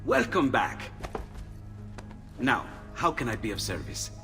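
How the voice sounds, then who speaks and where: Casting: courteous, eager Renaissance inventor for a game, wildly varied pitch, creaky voice, reverberant room. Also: male voice, huge pitch swings, a little slow, sharp tone, heavily acted. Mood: flustered, welcoming. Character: excitable, friendly, courteous Italian artisan-inventor. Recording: long hall reverb, faint music.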